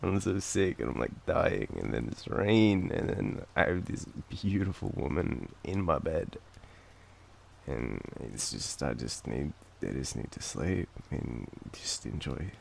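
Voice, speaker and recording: Aussie guy with a cold, super deep gravelly voice, slow sleepy teasing murmur. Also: sing-song, a little hiss or hum. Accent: Australian. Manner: soft, drowsy, teasing murmur. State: sick with a cold, coughing. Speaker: boyfriend roleplay performer. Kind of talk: intimate audio roleplay.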